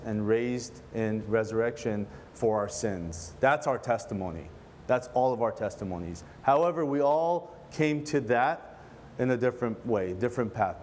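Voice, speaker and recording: A man from Lebanon preaching in a church service, praying and then teaching in a relaxed, earnest way, often pausing and restarting his sentences. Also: medium pitch, slight background noise. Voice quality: relaxed, filler-heavy, earnest delivery with frequent self-restarts. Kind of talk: casual conversational sermon with prayer.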